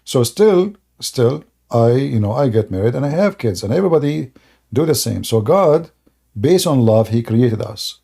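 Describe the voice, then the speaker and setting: A man hosts a live-streamed debate, speaking in a dark, gravelly voice. He explains slowly and haltingly, pausing on fillers and restarting his words. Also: medium pitch, lively intonation. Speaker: debate host.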